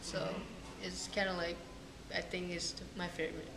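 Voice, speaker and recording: A woman's voice: fairly low pitch, level tone, moderate pace, very noisy. A woman from Vietnam with a Vietnamese accent and Vietnamese as her first language, answering hesitantly and searching for words. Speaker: interviewee. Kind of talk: casual interview answers. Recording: echoey room with crowd chatter.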